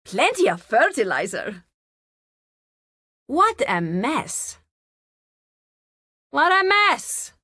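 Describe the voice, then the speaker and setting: A woman playing a solemn, zealous nature priestess in a fantasy video game, speaking in a formal, deliberate, theatrical way. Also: high voice, a bit bright, no echo, studio quality, full character voice. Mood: mildly weary.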